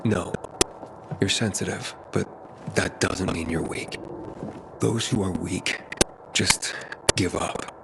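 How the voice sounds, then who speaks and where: An actor playing a plain-spoken, honest young male survivor who talks in a low-key, candid way. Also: slow, creaky, pauses often, very noisy, heavily acted.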